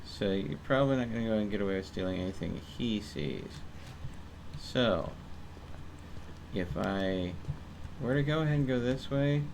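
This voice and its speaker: A man streaming a game, talking casually to his viewers as he plays. He thinks out loud about his moves with mild frustration. Faint hiss sits under his voice. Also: lowish voice, gravelly. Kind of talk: casual live gameplay commentary.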